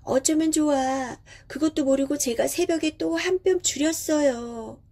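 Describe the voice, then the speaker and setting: A woman's voice: high-pitched, unhurried, dark tone, voice pushed up. A woman voicing a sweet young daughter in a storybook tale, with a girlish, earnest, polite delivery. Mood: sorry, tearful. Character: sweet, dutiful young daughter of village father.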